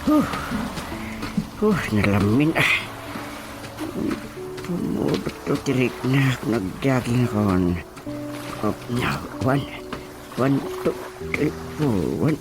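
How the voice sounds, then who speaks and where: An excitable, bumbling village official in a radio comedy, with an Ilocano accent. He mutters and stammers slowly in wildly varied pitch over a clear music bed. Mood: grumbling, puffing. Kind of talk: comedic radio drama acting.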